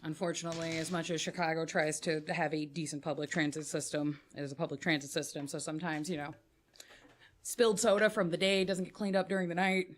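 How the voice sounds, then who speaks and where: A woman running a tabletop game with a slightly low voice. She narrates loosely and swears freely, with plenty of filler words. Her delivery sounds relaxed and conversational. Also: fast talker, slight background noise. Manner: casual, profane, lots of filler words. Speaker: game master.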